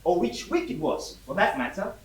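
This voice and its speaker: An actor playing a polite, earnest young lay preacher, speaking hesitantly in a theatrical style. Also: male voice, high-pitched, very animated, normal speed, slight background noise. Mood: reflective, earnest. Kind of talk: theatrical monologue and dialogue. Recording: echoey room, distant microphone.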